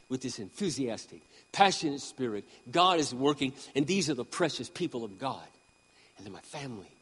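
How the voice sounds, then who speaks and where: A man around sixty preaching a sermon with impassioned emphasis, swinging between loud peaks and quiet asides. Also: fairly high pitch, husky, in a large hall, poor audio. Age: 60s. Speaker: pastor.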